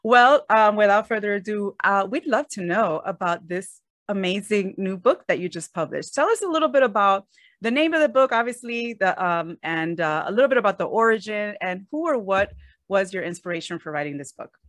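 A woman's voice: mid-range voice, animated, a little fast, fairly bright tone, no echo. A woman hosting a podcast, speaking with enthusiasm and a faith-centered focus, recorded on a close mic. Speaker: podcast host. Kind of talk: warm podcast interview.

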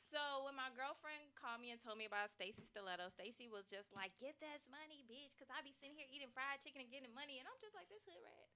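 A woman guest in a casual group chat, telling a story in an animated, slangy way. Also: high voice, fast, few pauses.

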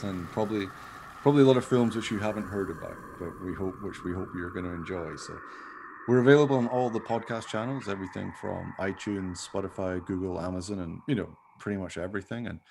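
Male podcast host, low register with plenty of fry, informal and jokey, rambling conversational delivery. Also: brisk, noisy background. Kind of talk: casual chat.

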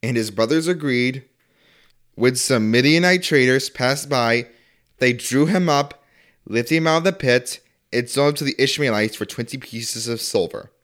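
A man's voice: mid-range voice, moderate pace, a bit bright. A man reading a Bible story aloud as a scripture reader.

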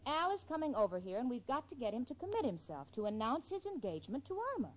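An actress playing a level-headed, protective young woman in a 1940s radio sitcom, earnest, brisk and wry. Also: a little high, sing-song. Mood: earnest, exasperated. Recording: dull vintage 1940s radio recording.

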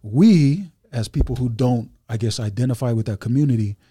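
Laid-back chatty man, mellow voice. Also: medium pitch, expressive, moderate pace, breathy edge, no echo, studio quality. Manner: laid-back, chatty, many fillers and asides. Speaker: podcast guest. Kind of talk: casual podcast chat.

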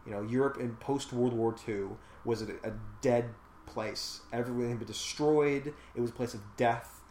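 A man's voice: medium pitch, fairly even intonation, moderate pace, a little mellow. Man talking at length in a roomy space. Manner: thoughtful, talks at length with fillers. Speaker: podcast host discussing a film. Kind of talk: casual discussion. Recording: echoey room with faint noise.

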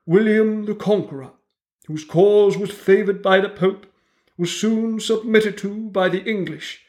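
A woman's voice: medium pitch, measured pace, warm tone, voice pushed up, natural voice. A woman voicing a prim, bossy female mouse who lectures a group in a pompous, schoolmarmish way.

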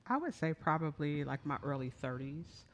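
A man's voice: on the high side, very animated, moderate pace, a bit bright, muffled recording, slight background noise. A man in his forties on a panel, thoughtfully recalling his career step by step. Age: 40s. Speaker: panel guest. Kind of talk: conversational storytelling.

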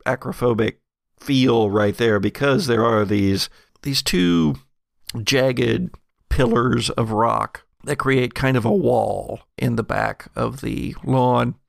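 A man narrates a tabletop roleplaying game with heavy vocal fry. He speaks slowly and deliberately, feeling his way through descriptions, and the sound is close and dry. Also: mid-range voice, no echo. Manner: halting, deliberate scene description. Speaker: game master.

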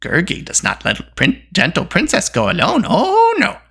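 Reader doing a storybook creature, timid, boastful, excitable, high swooping voice, pleading. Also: normal speed, creaky, breathy edge, heavily acted. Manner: excitable, pleading, self-praising. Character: timid yet boastful whimpering creature, loyal servant.

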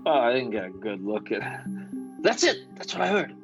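An actor playing a jittery, frightened expedition quartermaster in a radio science fiction drama, excitable and urgent. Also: male voice, medium pitch, normal speed, over music. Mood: panicked.

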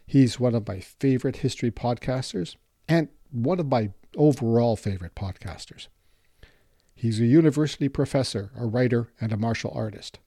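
A man hosting a history podcast, reading a measured intro then asking questions. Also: mid-range voice, mellow, no room sound, clean studio sound. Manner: measured, reads intro then brief acknowledgements. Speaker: podcast host. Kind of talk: scripted intro then interview.

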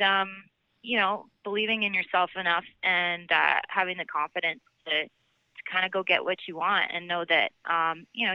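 Clear-voiced Canadian woman, slightly dull audio. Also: lowish voice, no room sound. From Alberta, Canada. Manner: chatty, informal, frequent "like" and "you know". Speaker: country singer-songwriter, podcast guest. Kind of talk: casual interview chat.

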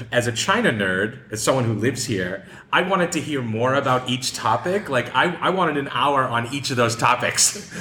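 A man's voice: mid-range voice, bright, forceful tone. A man hosting a casual group discussion in an informal, conversational way. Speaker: host of a podcast discussion group. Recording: roomy echo, faint background noise.

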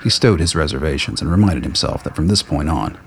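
Deep guy narrating a radio play from a script, slight background noise. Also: expressive, brisk, vocal fry. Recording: faint noise and ambience.

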